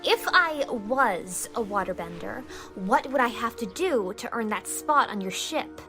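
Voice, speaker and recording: A woman voicing a determined, quick-witted teenage girl waterbender in a fan-made animated comic dub, expressive in delivery. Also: a little high, soft background music, exaggerated voice. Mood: resolute. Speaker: voice actor.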